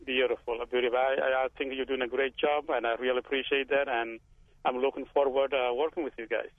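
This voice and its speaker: Man from somalia, radio caller, polite, flat delivery, tinny phone line, dry. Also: mid-range voice, fast, no echo. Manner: polite and formal. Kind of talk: call-in to a radio show.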